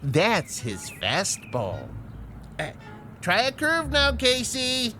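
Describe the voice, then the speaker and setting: Slow, swooping old inventor professor. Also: male voice, high voice, huge pitch swings, full character voice. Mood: proud, insistent. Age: older. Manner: halting, mild, pedantic explanations. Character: elderly earnest inventor professor, proud creator of a robot. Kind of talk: radio drama acting. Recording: music behind the voice.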